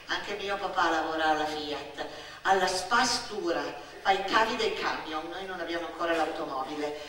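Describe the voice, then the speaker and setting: Woman from the north doing a wry stage monologue, bright voice, really echoey and far from the mic. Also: lowish voice, a little hiss or hum. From Turin, Italy. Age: 50s. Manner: expressive, wry, deliberately phrased. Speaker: stage storyteller, actress. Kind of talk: theatrical autobiographical monologue. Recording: distant, very echoey room.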